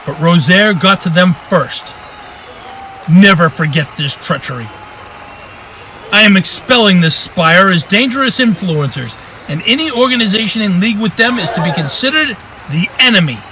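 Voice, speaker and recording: Bright, high-pitched man playing a vengeful king. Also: lively intonation, moderate pace, low-quality recording. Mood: menacing, accusing. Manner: pompous, declamatory proclamations. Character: commanding, vengeful newly crowned king. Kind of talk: fantasy audio drama acting. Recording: faint crowd and noise behind. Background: faint crowd ambience.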